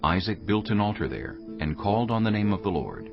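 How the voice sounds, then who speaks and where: Deep gravelly guy narrating bible stories, solemn but expressive, soft music behind, slightly dull sound. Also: brisk. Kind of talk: scripted dramatic scripture narration.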